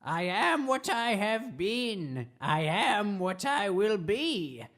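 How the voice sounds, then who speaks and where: A woman's voice: medium pitch, very animated, takes it slowly, a bit bright. A woman playing a mad, taunting watcher in an improvised radio comedy, with a theatrical, cackling, riddling delivery. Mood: cryptic, gloating. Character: mad, taunting, cryptic watcher lurking above a dungeon.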